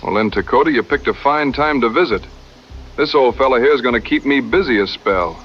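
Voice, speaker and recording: An actor playing an easygoing, modest frontier hunter in a radio drama, with folksy drawling talk. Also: male voice, mid-range voice, brisk, lo-fi sound, soft background music, lightly acted. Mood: friendly.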